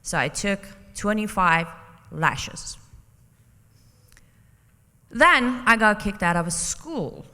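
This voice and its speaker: A woman from Iran, a native Persian speaker, giving a personal testimony as a conference speaker, deliberate and emphatic with dramatic pauses. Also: mid-range voice, big pitch swings, pushed and bright. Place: conference hall, public address. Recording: reverberant hall.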